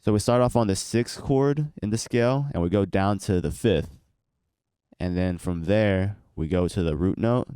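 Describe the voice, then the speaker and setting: Young male producer, very low voice, stuffy and congested, relaxed tutorial delivery, close dry sound. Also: clean tone, no room sound. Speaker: beat producer and channel host. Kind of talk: casual tutorial walkthrough.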